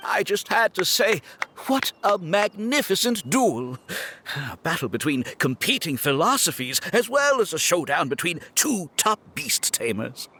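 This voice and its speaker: A man's voice: high-pitched, sing-song, measured pace, bright, forceful tone, slight background noise, exaggerated voice. A man voicing a suave, scheming game villain with a grandiose, polished and condescending manner. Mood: grand admiration. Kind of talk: video game voice acting.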